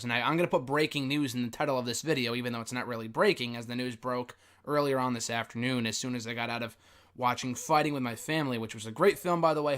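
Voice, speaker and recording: Male host, recovering from a cold, hoarse and raspy, casual opinionated wrestling news talk, mid-pitched. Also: a bit bright. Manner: chatty, opinionated, frequent um fillers. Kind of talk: casual news commentary to camera.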